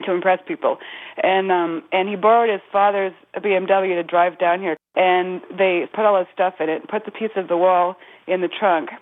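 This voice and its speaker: A woman's voice: on the low side, fairly even intonation, moderate pace. Canadian woman on a phone line. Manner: chatty storyteller, laughs easily. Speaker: caller. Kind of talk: casual phone call to a radio show.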